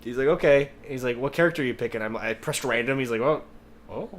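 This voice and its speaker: A man's voice: medium pitch, fast. A man co-hosting a podcast, telling rambling anecdotes in casual chat and swearing freely. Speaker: podcast host. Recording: noticeable background noise.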